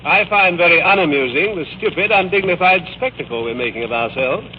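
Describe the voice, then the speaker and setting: A man's voice: high voice, animated, a little fast. An actor playing a haughty, philandering older father in 1940s radio drama, pompous, dry and formal, coolly cutting toward his daughter. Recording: noisy, dull old 1940s radio recording.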